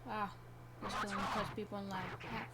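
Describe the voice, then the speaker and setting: A woman's voice: medium pitch, level tone, moderate pace, very noisy. A woman reacting casually while streaming a video game with a friend. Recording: very loud game music under voice. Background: game music.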